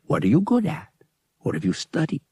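Male narrator as a roguish young pilot, audiobook character, raspy, lively pitch, casual, coaxing, sceptical. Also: brisk, dry recording, clean studio sound, full character voice. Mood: casual, probing.

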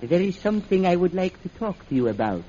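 Evil prince in old radio serial, husky and formal, kinda high voice, muffled lo-fi sound. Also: male voice, very animated, dark tone, slight background noise. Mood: smooth, suspicious. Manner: formal, deliberate, commanding. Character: menacing, imperious prince and villain, coldly threatening. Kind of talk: 1940s radio serial acting. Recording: dull, lo-fi old radio recording.

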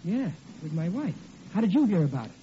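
An actor playing a flustered, blustery, stammering working-class husband in a vintage radio sitcom. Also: male voice, high voice, very animated, brisk. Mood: blustering, defensive. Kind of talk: 1940s radio sitcom acting. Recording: muffled, noisy old radio recording.

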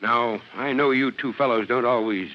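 An actor playing an authoritative, blustery middle-aged fire chief with an American accent in a vintage radio drama. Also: male voice, medium pitch, moderate pace, a little hiss or hum, close to an everyday voice. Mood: firm, instructing. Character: gruff, commanding middle-aged male fire chief, fatherly. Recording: muffled old radio show recording.

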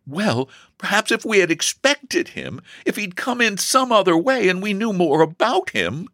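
A man's voice: high voice, very animated, moderate pace, a bit bright, no room sound, studio quality, pitched above the performer's own voice, full character voice. A man voicing an agitated, dramatic and nervous young woman in a storybook reading. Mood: flustered dismay.

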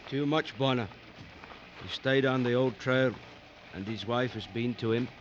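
An actor playing a loyal, watchful safari tracker with clipped, terse phrasing, in 1950s radio drama style. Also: male voice, mid-range voice, unhurried, close to an everyday voice. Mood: intent. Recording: muffled, noisy old radio drama recording.

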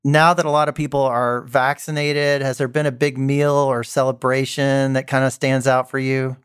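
A man interviewing a guest, asking measured, friendly questions. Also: mid-range voice, little pitch movement, clear, resonant tone.

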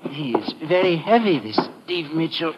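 A man's voice: a little high, big pitch swings, normal speed. An actor playing a scheming male criminal accomplice in a 1950s radio drama. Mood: relieved, scheming. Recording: dull, noisy vintage radio recording.

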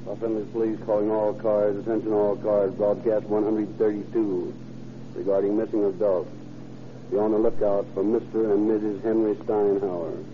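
Male actor as a police dispatcher for vintage radio crime show: lowish, flat, official, over a tinny noisy recording. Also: lightly acted. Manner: monotone, official. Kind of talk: 1930s radio police bulletin.

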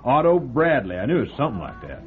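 Man voicing a gossipy rural diner owner, lively delivery, tinny old broadcast. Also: mid-range voice, fast, close to an everyday voice. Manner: folksy, relishing a gruesome story. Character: chatty small-town male diner owner, gossipy. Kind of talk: old-time radio drama acting. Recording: phone-like old radio broadcast with faint music.

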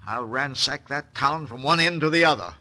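A man's voice: medium pitch, expressive, normal speed, bright, forceful tone. An actor playing a hot-tempered, imperious middle-aged army major and anxious father in an old-time radio western. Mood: furious, blustering. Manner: blustering, imperious, exclamatory.

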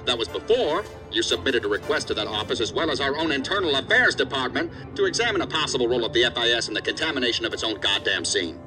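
Man playing a pissed off agency boss, talks fast, blunt and threatening, sci-fi drama, music behind. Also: medium pitch, big pitch swings, lightly acted. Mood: stern, exasperated. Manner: stern, blunt, cutting. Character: angry, threatening male assistant director scolding a subordinate. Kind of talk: science fiction audio drama acting.

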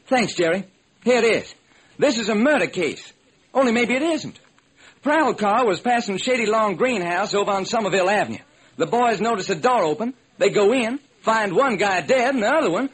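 Radio detective drama: folksy, baffled police lieutenant, high husky voice, earnest and slangy. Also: male voice, expressive, moderate pace, a bit bright, full character voice. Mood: urgent, puzzled. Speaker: actor. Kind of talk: old-time radio detective drama acting. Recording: noisy vintage radio recording.

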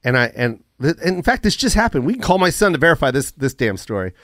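A man in his forties in casual podcast chat, talking in an animated, profane way and laughing often. His voice sits a little high for a man, and the sound is close and dry with no room echo. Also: fast.

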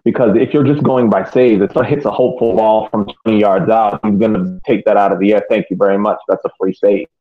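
A man co-hosting a soccer podcast, talking through casual sports analysis in halting, hesitant phrases over a narrow phone-like connection. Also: lowish voice, fairly even intonation.

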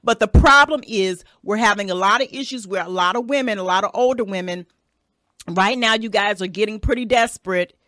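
A woman's voice: medium pitch, fairly quick, fairly bright tone, no echo. A woman in her thirties hosting a solo podcast, giving blunt, profane advice and often tacking "okay?" onto her points. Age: 30s. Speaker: podcast host. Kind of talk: casual advice monologue.

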